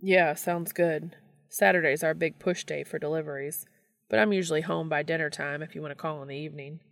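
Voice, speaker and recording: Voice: mid-range voice, steady tone, a little fast, vocal fry, a bit bright, in a large hall. A reader playing a casual, slightly awkward male baker in an audiobook story, with informal, hesitant phrasing. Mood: awkward, relieved.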